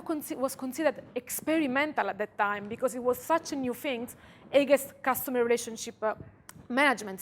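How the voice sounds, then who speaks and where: A woman from Italy with an Italian accent, giving a conference talk and guiding the audience through a calm visualization. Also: high voice. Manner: calm, slow guiding voice, speaks to listeners directly. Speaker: conference speaker. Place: conference room.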